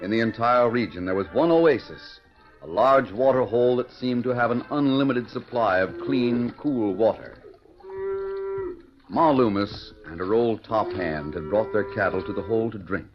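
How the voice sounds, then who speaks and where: A man's voice: lowish voice, moderate pace, soft background music. A man with an American accent narrating a radio western in a grand, dramatic 1940s announcer style. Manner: grand, theatrical. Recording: muffled old radio broadcast recording.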